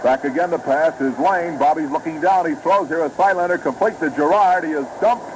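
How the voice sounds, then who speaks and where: Male American sportscaster, fifties radio play-by-play, fairly high voice, formal yet dramatic, noisy old broadcast. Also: fairly quick. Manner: formal, detailed, dramatic narration of each play. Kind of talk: 1950s American football radio commentary.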